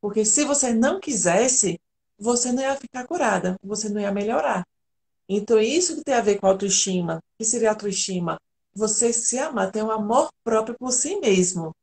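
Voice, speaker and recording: A woman's voice: mid-range voice, lively intonation, normal speed, a little mellow. A woman from Argentina, an integrative therapist, telling her personal story earnestly and candidly as a guest on a live video call.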